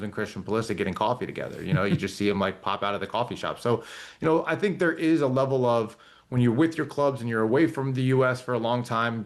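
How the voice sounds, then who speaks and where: A man, a soccer reporter joining a talk show as a guest, speaking in a thoughtful, rambling way with frequent restarts and fillers. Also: mid-range voice. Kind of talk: casual talk show chat.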